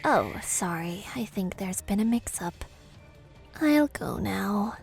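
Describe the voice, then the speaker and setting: A woman voicing a coy, alluring assistant posing as an escort in a cartoon, soft-spoken. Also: mid-range voice, lively intonation, slow, vocal fry, soft background music.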